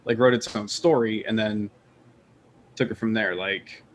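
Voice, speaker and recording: A man's voice: lowish voice, fairly even intonation, unhurried, slight background noise. A man chatting casually as a panelist on a podcast. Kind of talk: casual chat.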